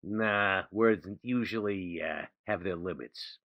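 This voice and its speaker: A blunt vampire, as a man plays him, tossing off dry, offhand remarks. His voice is fairly low and gravelly, close and dry. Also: slow pace, dry recording, dull audio, heavily acted. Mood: wry. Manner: wry and offhand.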